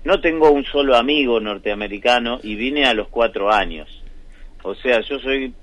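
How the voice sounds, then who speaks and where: A man with an Argentine accent, a musician answering interview questions thoughtfully, with many pauses and fillers. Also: mid-range voice, fairly bright tone. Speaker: musician, band member.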